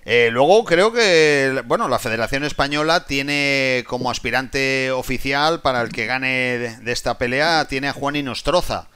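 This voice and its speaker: A man's voice: mid-range voice, measured pace, hardly any pauses, bright, forceful tone, smooth. A man interviewing a boxer, asking casual, friendly questions. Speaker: sports interviewer.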